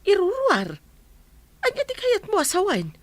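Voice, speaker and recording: A woman's voice: very high-pitched, wildly swooping pitch, unhurried, no echo, exaggerated voice. A woman playing a questioning older village wife in an Ilocano radio comedy drama, with a Filipino accent. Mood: questioning, puzzled.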